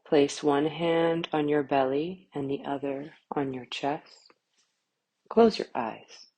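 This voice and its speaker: A woman guiding a yoga nidra relaxation in a calm, soothing manner, with short, spaced phrases. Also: deep voice, takes it slowly, husky, low-quality recording. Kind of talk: guided relaxation meditation.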